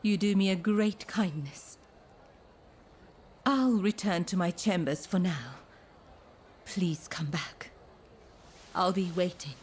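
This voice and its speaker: An actress playing a gentle, courteous woman of a Japanese pleasure district in a video game, speaking softly and formally in measured, breathy phrases. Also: on the low side, a little hiss or hum. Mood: polite, confiding. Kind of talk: scripted dramatic game dialogue. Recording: music behind the voice.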